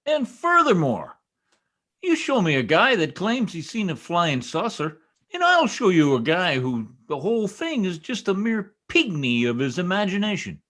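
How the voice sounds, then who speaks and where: Male actor as blowhard radio-comedy husband, pitch leaping all over, folksy, emphatic, mangles big words. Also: wildly swooping pitch, moderate pace, fairly bright tone, full character voice. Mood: scornful, blustery. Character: blustery, stubborn American husband who garbles his words. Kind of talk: 1940s radio comedy acting.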